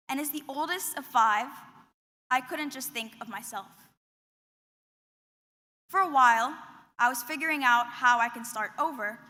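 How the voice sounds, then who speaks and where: High, bright young woman in a hall. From Qatar. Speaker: student commencement speaker. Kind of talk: prepared graduation speech. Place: large commencement hall. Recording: long reverb of a big hall.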